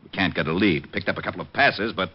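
An actor playing a put-upon, grumbling American homicide lieutenant in an old radio drama. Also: male voice, low-pitched, fast. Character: exasperated, long-suffering male police homicide lieutenant. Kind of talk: 1950s radio comedy-drama acting. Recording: dull vintage radio recording.

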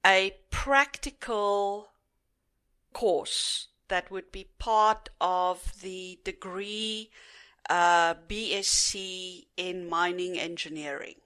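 South african woman, middle-aged, slow pace, bright forward tone, lots of fillers. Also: fairly low pitch, smooth. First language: Afrikaans. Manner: unhurried, with frequent fillers. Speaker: guest. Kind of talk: casual interview.

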